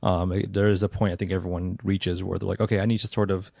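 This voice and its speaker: A man hosting a podcast, asking questions in a relaxed, rambling way full of ums and likes. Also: deep voice, quick pace, creaky, no echo, studio quality. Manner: relaxed, filler-heavy, many ums and likes. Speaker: podcast host. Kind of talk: casual podcast interview.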